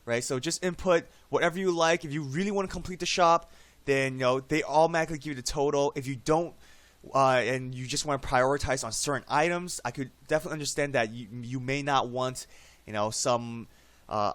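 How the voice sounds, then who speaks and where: A man recovering from a cold, his voice slightly hoarse, chatting casually through a screen-recorded game tutorial and tagging "right?" onto his sentences. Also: medium pitch, fairly bright tone, no echo. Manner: chatty, frequent "right?" tag questions. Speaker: game guide YouTuber.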